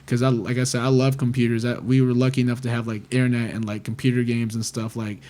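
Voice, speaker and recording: A man's voice: medium pitch, little pitch movement, fast, warm tone. A man in his twenties, a guest on a podcast, chatting casually and rambling with lots of "like" fillers. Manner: rambling, heavy use of "like" fillers, talkative. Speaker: record label manager, guest.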